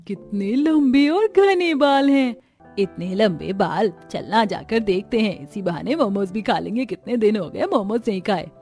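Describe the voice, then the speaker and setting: A woman's voice: medium pitch, animated, measured pace, mellow, faint music behind. A woman playing chatty young village women in a cartoon story. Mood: curious.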